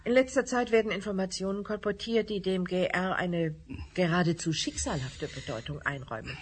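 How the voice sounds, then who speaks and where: A woman's voice: on the low side, brisk, breathy edge. An actress playing a woman who hosts a televised science discussion, speaking formally and courteously. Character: woman TV science show host, formal and polite. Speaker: host. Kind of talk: radio drama acting.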